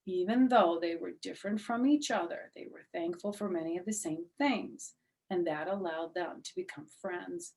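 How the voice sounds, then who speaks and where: A woman's voice: fairly low pitch, normal speed, a little mellow, close to an everyday voice, poor audio. Woman playing a patient, amused male schoolteacher. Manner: patient, instructive.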